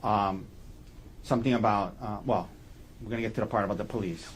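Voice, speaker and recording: A man speaking as an attorney in courtroom questioning, putting halting, leading questions and checking each with a short tag. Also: lowish voice, slight background noise, poor audio. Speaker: defense attorney questioning a witness.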